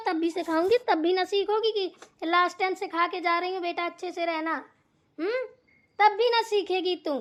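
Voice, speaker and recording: A woman voicing a domineering older mother-in-law in a family cartoon, scolding and nagging with sarcastic questions. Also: very high-pitched. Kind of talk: amateur Hindi family cartoon dialogue.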